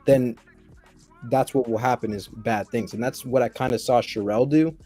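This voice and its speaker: Mellow man explaining his view. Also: medium pitch, steady tone, moderate pace, breathy edge, faint music behind. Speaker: panelist.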